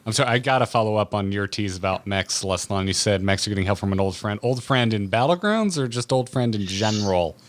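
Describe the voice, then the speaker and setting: Low-voiced male podcast host, eagerly questioning. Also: a little fast, few pauses. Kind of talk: casual interview chat.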